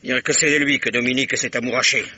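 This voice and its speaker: Sly old local, very bright voice, grumbling and dodging questions, old radio play. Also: male voice, mid-range voice, fairly even intonation, quick pace, a little hiss or hum. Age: older. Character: old evasive local man, blustering and sly. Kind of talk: 1950s radio drama acting.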